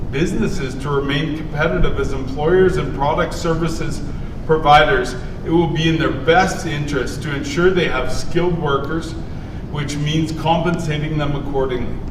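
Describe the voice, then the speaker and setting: A man speaking as a political candidate, reading out a prepared statement. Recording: echoey room, distant mic, rumbling noise.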